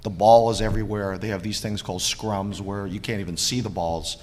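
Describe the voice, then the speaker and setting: A man on a tech panel who is losing his voice, measured and apologetic, with many fillers. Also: lowish voice, fairly even intonation, darkish tone. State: partly lost voice. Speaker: panelist, technical account manager. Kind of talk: conference panel talk. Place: large conference hall. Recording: reverberant hall, faint noise.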